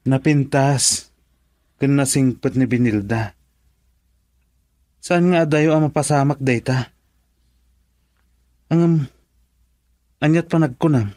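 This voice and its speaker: A man's voice: medium pitch, darkish tone, no echo, clean studio sound, close to an everyday voice. A man acting in an Ilocano radio drama as a frank friend, speaking in an emphatic, questioning way. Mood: frank discussion.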